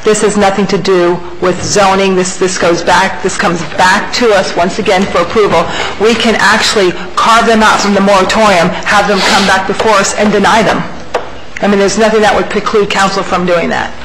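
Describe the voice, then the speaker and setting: Brisk woman chairing a meeting. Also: mid-range voice, fairly even intonation. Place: council chamber, at the dais. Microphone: desk gooseneck microphone. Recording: noisy tape with hiss.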